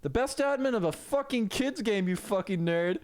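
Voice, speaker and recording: A man voicing Saint Peter as a breezy, condescending gatekeeper of heaven with a lifted, high voice in an amateur dramatic story reading. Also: animated, moderate pace, darkish tone. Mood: mocking. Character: Saint Peter, chirpy heavenly gatekeeper turning mockingly cruel.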